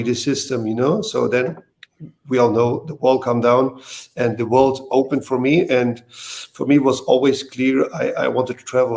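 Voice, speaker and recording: German-accented male guest, grainy voice, non-native speaker, halting and repetitive. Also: mid-range voice, darkish tone. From East Germany. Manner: hesitant, repeats words, non-native phrasing. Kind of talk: casual interview talk. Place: video call.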